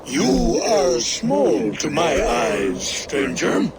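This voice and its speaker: An actor playing a slow-spoken, theatrical giant lord in a video game cutscene. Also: male voice, on the high side, huge pitch swings, creaky, background noise, heavily acted. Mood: scornful. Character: giant jotun lord Suttungr, boastful host. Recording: game audio with loud ambience.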